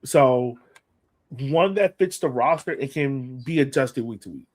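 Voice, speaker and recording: A man's voice: mid-range voice, a little slow, darkish tone. A man hosting a sports podcast, giving casual fan commentary with playful, acted-out banter.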